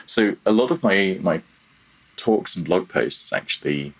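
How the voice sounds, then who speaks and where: A man's voice: low-pitched, lively intonation, husky. A man in his thirties talking casually and candidly as an interview guest, often correcting himself mid-sentence. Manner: halting, self-correcting, candid, chuckles at himself. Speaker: podcast guest, freelance audio developer.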